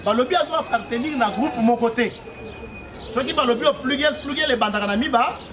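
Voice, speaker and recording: A man's voice: extremely high pitch, a little fast, noisy background. A man from Congo giving an explanatory public address at an outdoor political meeting, checking that the crowd follows and leading chants. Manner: explanatory, repeatedly checks the crowd understands, leads call-and-response chants. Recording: distant mic, crowd heard behind. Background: crowd responding.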